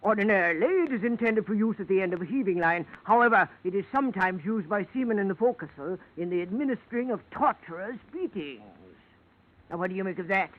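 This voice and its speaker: A man's voice: high-pitched, fast, close to an everyday voice. An actor playing a courtly, shifty ship-salvage man in a 1940s radio detective drama, speaking in florid, mock-polite, orotund phrases. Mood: explanatory. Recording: dull, band-limited old radio recording.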